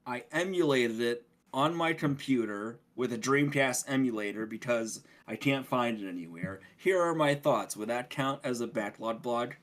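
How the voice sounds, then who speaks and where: A man's voice: mid-range voice, moderate pace, few pauses, fairly bright tone. A man talking casually as a podcast co-host, explaining things in a rambling way. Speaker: podcast co-host reviewing video games.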